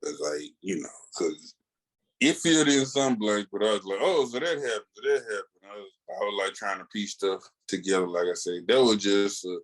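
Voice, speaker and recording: A man with a fairly low voice talks casually as a podcast co-host. He is opinionated and emphatic, uses plenty of slang, and drifts into asides as he reviews. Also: expressive, normal speed, low-quality recording. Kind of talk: casual, opinionated comic book review chat.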